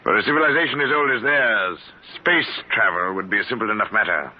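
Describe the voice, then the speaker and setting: A stiff, precise professor type, very low voice, formal and pedantic; muffled vintage radio with light hiss. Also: male voice, animated, quick pace. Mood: earnest. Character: odd, precise scholarly man seeking Martians. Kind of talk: 1950s radio drama acting.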